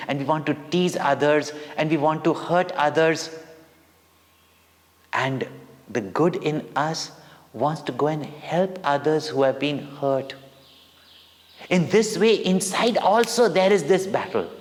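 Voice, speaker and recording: Man, children's myth storyteller, deliberate and unhurried, very expressive intonation, recorded with long reverb. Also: a little high. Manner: deliberate, dramatic pauses, questions to listeners. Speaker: spiritual teacher and children's storyteller.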